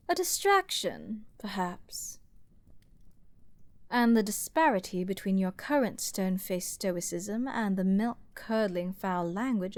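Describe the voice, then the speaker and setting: A woman's voice: medium pitch, a little mellow, dry recording. A woman playing an arrogant, flirty, dominant princess in a dramatic fantasy audio roleplay, with theatrical aristocratic phrasing.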